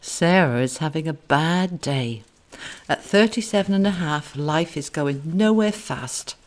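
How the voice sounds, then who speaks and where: A woman's voice: sits low, lively intonation, a little slow, soft background music. A woman, an author narrating her own book. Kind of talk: audiobook narration.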